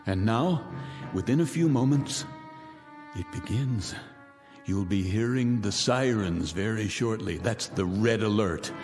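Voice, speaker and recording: An actor playing a wealthy, cynical man who calmly announces the end of the world from his bunker, with a smooth, menacing, deliberate delivery. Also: fairly low pitch. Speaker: Mr. Raiden, bunker owner. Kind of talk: radio drama acting. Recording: long reverb with music beneath.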